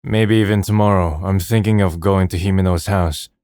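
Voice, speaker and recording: A man's voice: deep voice, steady tone, normal speed, a little mellow, natural voice. A man playing a melancholy, resigned young man, subdued and introspective, in a manga dub. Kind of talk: first-person narration and dialogue in manga dub.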